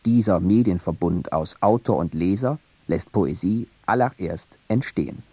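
A man narrating a scripted radio essay in a measured, reflective and earnest way. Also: sits low, dark tone, breathy edge, no echo.

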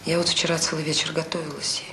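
An actress playing a devoted, busy middle-aged children's home director in a Soviet radio drama, speaking in a soft, conversational, hesitant way. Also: female voice, low voice, little pitch movement, fast talker. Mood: hesitant, confiding. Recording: roomy, clearly noisy old radio play recording.

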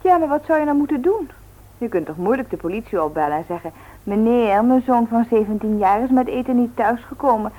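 A breezy, matter-of-fact young woman in a radio drama. Her voice sits fairly high, with very animated intonation and a gently teasing way of talking. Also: brisk, narrow, tinny audio. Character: sensible, teasing young woman, elder daughter of the family. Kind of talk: Dutch radio play acting.

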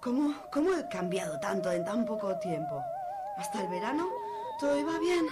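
A woman's voice: high-pitched, huge pitch swings, normal speed. A woman with a Spanish accent from Spain playing an anguished, pious, posh young university student in a dramatic, sighing radio soap monologue. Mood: anguished, confused. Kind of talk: radio soap opera acting. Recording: music under the voice.

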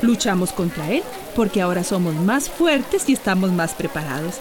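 A woman's voice: fairly low pitch, expressive, moderate pace, crowd noise, lightly acted. An actress playing a resolute, protective mother in a radio soap, with a Central American Spanish accent. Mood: soothing. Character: resolute, protective mother in a storm-hit town. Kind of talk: radio soap opera acting.

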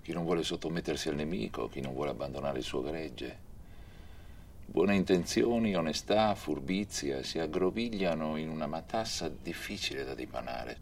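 A man reading scripted historical narration for a documentary film in a measured storytelling manner. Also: a bass voice, expressive, vocal fry, slight background noise.

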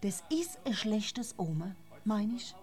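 A wary woman with a mid-pitched voice. She is terse and quietly probing, understated in radio play fashion. Faint music and birds sit behind her. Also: very animated, takes it slowly. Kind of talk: radio drama acting, low-key dialogue.

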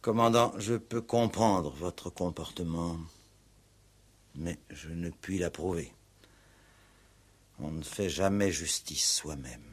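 An actor in a 1960s French radio play playing a stern, principled police commissioner with a measured, formal, authoritative delivery. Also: male voice, low voice, slightly rough, heavily acted. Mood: stern, solemn.

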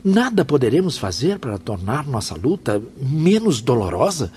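A man's voice: medium pitch, big pitch swings, normal speed, darkish tone, a little hiss or hum, close to an everyday voice. A man playing an anxious, distressed soul in a radio drama, pleading and agitated. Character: anxious, distressed male suicide soul questioning his mentors.

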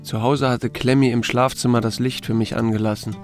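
A man's voice: medium pitch, little pitch movement, fairly quick, faint music behind, lightly acted. A man playing a reserved small-town sheriff in a German radio drama, narrating and speaking in terse, understated lines. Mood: reflective, matter-of-fact.

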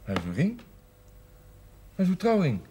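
An actor playing a reproachful grown son in a naturalistic television drama, with a husky voice. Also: male voice, sits high, wildly swooping pitch, normal speed, warm tone, exaggerated voice. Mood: shocked, reproachful. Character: reproachful grown son confronting his mother.

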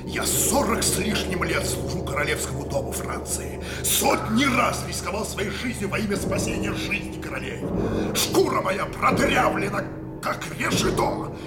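An actor playing a proud, defiant old musketeer captain in a radio drama, impassioned, declamatory and bitter. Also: male voice, sits high, big pitch swings, normal speed, loud music behind the voice. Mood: indignant. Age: older. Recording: echoey studio radio play.

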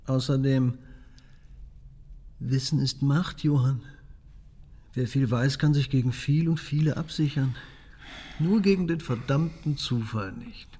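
A man's voice: mid-range voice, unhurried, long pauses, mellow, slightly rough. A man acting in a German radio drama as a shrewd, bitter member of parliament with a dry, knowing manner.

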